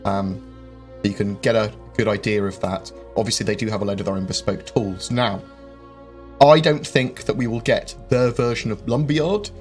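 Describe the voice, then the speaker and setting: A fairly low-voiced man answers viewer questions on a live stream. He rambles, fills gaps with um, and throws in quick jokes. Faint game music plays underneath.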